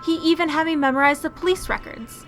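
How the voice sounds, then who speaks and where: A woman plays a confident young crime-fighting heroine in a radio drama. Her voice is high and crisp-edged with brightness, and her delivery is brisk and matter-of-fact over music. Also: fairly even intonation. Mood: focused. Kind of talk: radio drama acting and scripted narration.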